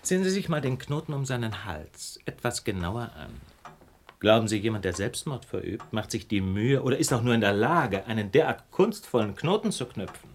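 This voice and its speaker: Brainy detective for a radio play, super sure of himself, lecturing, voice swings all over, bit of noise behind. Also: male voice, lowish voice, big pitch swings, moderate pace. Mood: insistent, precise. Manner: articulate, lecturing, theatrical. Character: brilliant, self-assured male detective, precise and superior. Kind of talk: German radio play acting.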